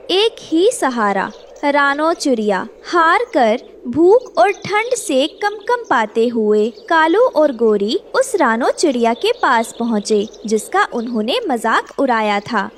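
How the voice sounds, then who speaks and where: A woman's voice: sits high, sing-song, takes it slowly. A woman narrating a scripted story as the storyteller. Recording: clear background noise.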